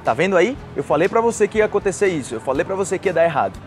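A man's voice: high-pitched, very animated, rapid-fire, soft background music. A young man with a Brazilian accent teaching a conversational language lesson to camera, explaining with acted example phrases. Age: young adult. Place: outdoors on a patio by trees and a street. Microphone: lapel mic.

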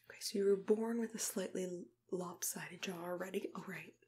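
A young woman in an ASMR roleplay as a dentist, speaking in soft whispers in a gentle, unhurried, reassuring manner, close to the microphone. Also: fairly low pitch, fairly even intonation. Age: young adult.

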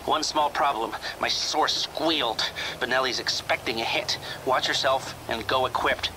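An actor playing a shrewd, cautious middle-aged heist planner in a video game, wry and measured. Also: male voice, medium pitch, natural voice. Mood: wry, businesslike. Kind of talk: video game dramatic acting. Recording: game audio with clear background noise.

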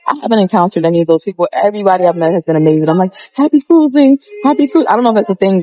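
Young woman chatting into her phone on a breezy ship deck, relaxed and slangy, super dry close sound. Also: on the low side, no echo, poor audio. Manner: chatty, slangy, laid-back. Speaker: travel vlogger. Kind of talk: casual chat to camera. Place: outdoor deck of a cruise ship, windy. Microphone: phone held at arm's length.

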